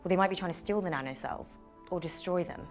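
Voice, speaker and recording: An actress playing a brisk, composed official on a call, formal and measured, with music underneath. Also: female voice, lowish voice, natural voice. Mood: composed, serious. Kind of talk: naturalistic film acting.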